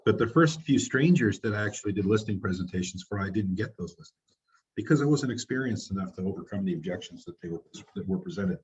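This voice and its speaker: A man with a fairly low, husky voice leads a group training for real estate agents. He talks in an encouraging, loose way, restarting his sentences. The recording sounds dull and lo-fi. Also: lively intonation, quick pace. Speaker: real estate team leader running a training. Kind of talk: motivational group coaching.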